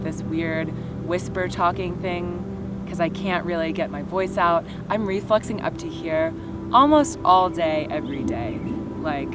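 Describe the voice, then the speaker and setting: A young woman talks through her own story in a relaxed, chatty way, leaning on filler words and restarting her sentences. Her voice sits a little low for a woman. Loud music plays underneath her the whole time. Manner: casual, filler-heavy, lots of "like" and "just". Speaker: vlogger. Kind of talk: personal storytelling to camera.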